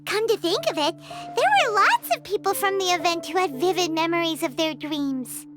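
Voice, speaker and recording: A woman voicing a tiny, excitable female fairy companion in a video game, with a squeaky childlike voice. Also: moderate pace, music in the background. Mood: urgent, excited. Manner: speaks of herself in third person, exclamatory. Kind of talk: video game character dialogue.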